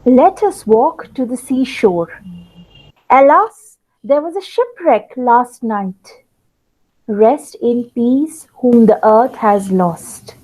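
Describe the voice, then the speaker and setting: High-voiced woman narrating theatrically, very animated. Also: slow pace, rough recording. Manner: dramatic, lingering, with repeated words for effect. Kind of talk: theatrical scripted storytelling narration of a play.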